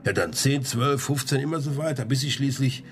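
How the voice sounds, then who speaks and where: A man's voice: medium pitch, a little fast, a little hiss or hum, close to an everyday voice. An actor playing a chatty, sly male junk-shop dealer under questioning in a radio crime drama, rambling colloquially with frequent tag questions. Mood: chatty, animated.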